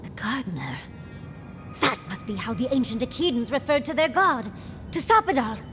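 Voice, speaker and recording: A woman's voice: high voice, sing-song, moderate pace. A woman voicing a curious, eager scholar adventurer in a fantasy video game, conversational and inquisitive. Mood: intrigued. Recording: game music under the voice.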